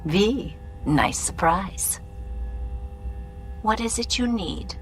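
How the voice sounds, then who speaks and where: A woman playing a shrewd older fixer, dry, imperious and deliberate, with formal phrasing in a video game. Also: medium pitch, lively intonation, slight background noise. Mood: dry, amused.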